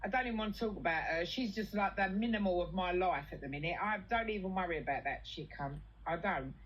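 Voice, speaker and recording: A woman's voice: on the low side, fast, dull audio. A British woman chatting casually and venting in a blunt, sweary, colloquial way. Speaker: livestream host.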